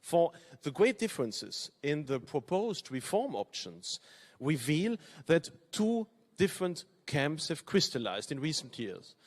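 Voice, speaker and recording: Belgian guy, german and french first languages, reading his opening speech as panel chair, formal and measured, mid-pitched voice. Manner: measured, formal, reads from a text. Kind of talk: formal prepared opening address. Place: conference hall.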